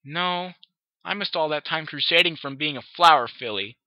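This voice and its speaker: A reader voicing a sullen young filly pony who answers flatly and reluctantly, on a dull, dry lo-fi recording. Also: mid-range voice, moderate pace, no echo, voice pushed up, close to an everyday voice. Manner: flat, reluctant, robotic replies.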